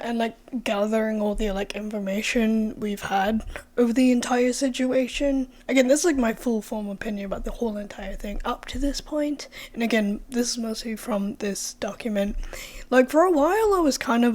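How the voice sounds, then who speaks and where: A young woman vlogs in a loose, rambling way, sprinkling in fillers and the occasional curse. Her voice sits slightly high yet soft and mellow. It is worn and hoarse from a recent cold. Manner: chatty, many "like" fillers, swears freely. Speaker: true-crime vlogger. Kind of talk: casual opinion vlog.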